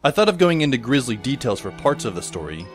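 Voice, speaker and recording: A man playing a wry, resigned teenage schoolboy who narrates an audio drama in a deadpan manner. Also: medium pitch, fast, natural voice. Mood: wry, measured. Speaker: narrator and lead character. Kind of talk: first-person scripted audio drama narration. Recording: music behind the voice. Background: instrumental music.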